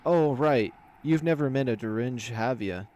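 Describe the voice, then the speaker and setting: A man's voice: medium pitch, normal speed, a little mellow, close to an everyday voice. Man playing blunt, knowing beast soldier. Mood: wry, knowing.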